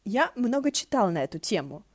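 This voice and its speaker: A woman's voice: mid-range voice, very animated, brisk, no echo, clean studio sound, pitched above the performer's own voice. Woman voicing a snappish, sly male ghost lord. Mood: teasing, proud. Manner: sarcastic, barking, teasing.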